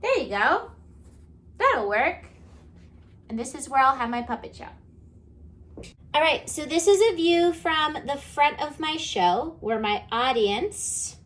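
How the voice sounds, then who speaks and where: A woman giving a step-by-step how-to demonstration for children, talking simply like a teacher and often checking in with little questions. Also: high-pitched. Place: indoors at home.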